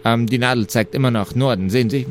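A man's voice: mid-range voice, fast, a little hiss or hum, natural voice. A man playing a slight, fussy, eager map seller in an improvised fantasy audio play, stammering and repeating himself politely. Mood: shyly eager. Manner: stammering, repetitive, muttering, polite. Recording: music under the voice.

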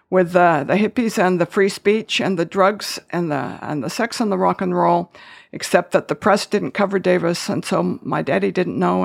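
An older woman with a gravelly, slightly creaky voice tells her life story. She talks in a chatty, self-correcting way, often restarting a thought before she finishes it. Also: on the low side. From Wales. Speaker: guest. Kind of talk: conversational interview.

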